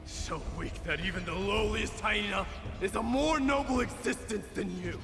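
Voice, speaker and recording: An actor playing a fierce, brooding young warrior prince in a fantasy game, with stern, weighty delivery. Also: male voice, high-pitched, moderate pace. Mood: vengeful. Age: young adult. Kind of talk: dramatic fantasy game acting. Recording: long hall reverb, music behind. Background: music.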